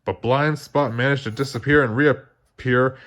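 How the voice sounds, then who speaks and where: A man narrating a children's story aloud in an expressive read-along style. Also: medium pitch, a little slow. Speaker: storybook reader.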